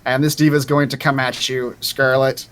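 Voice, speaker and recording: A man's voice: mid-range voice, level tone, normal speed, a bit bright, clear, resonant tone. A man acting as game master, narrating scenes and rulings in a tabletop roleplaying game.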